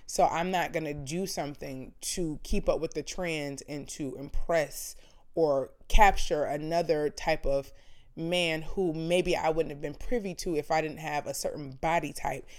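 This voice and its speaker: A woman's voice: sits low, a little mellow. A woman in her thirties bantering casually in a frank, unscripted chat, speaking assertively and with emphasis. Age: 30s.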